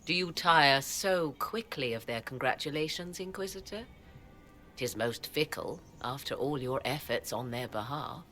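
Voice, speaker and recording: An actress playing a haughty, cultured sorceress at an imperial court in a video game, speaking in formal, archaic, aloof phrases. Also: female voice, extremely low pitch, animated, measured pace, sharp tone, full character voice. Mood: wry, formal. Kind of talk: theatrical video game acting.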